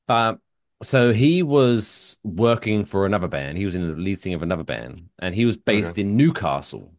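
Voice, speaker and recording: A man co-hosting a podcast in casual chat, an animated storyteller who builds into emphatic outbursts. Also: sits low, normal speed, no echo, clean studio sound. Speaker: podcast co-host.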